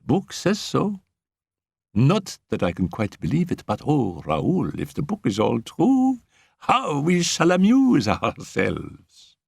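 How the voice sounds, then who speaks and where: A man reading aloud, voicing a scheming, excitable young girl in a raised, shrill voice. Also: slow pace, gravelly, breathy edge, dry recording, studio quality, full character voice. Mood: gleeful.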